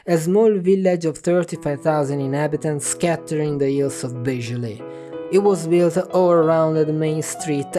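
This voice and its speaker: A man with an Italian accent, a native Italian speaker from northern Italy, narrating his travel vlog in a wry, chatty way. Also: fairly high pitch. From Piedmont, northern Italy. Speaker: travel vlogger. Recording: music underneath.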